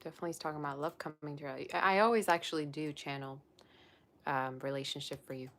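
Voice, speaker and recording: Low-voiced woman chatting on a livestream. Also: fairly even intonation, quick pace. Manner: chatty and rambling, with many "like" fillers. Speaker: psychic tarot reader hosting a livestream. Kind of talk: casual live-stream chat, reading viewer comments aloud.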